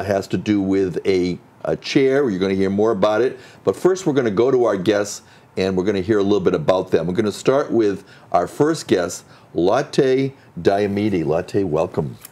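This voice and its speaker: A man with a low, warm voice guest-hosts a community cable talk show in a studio. He is friendly and a little halting, reading announcements with frequent fillers. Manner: friendly, reads sponsor list with fillers. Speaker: guest host.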